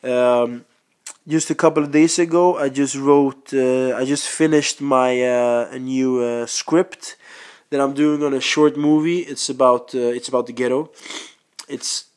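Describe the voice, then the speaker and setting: A man's voice: medium pitch, steady tone, a little slow, a little mellow. Swedish-born man rambling with a cold. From Sweden. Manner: rambling, many ums and restarts. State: has a cold. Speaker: podcast host and stand-up comedian. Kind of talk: casual monologue.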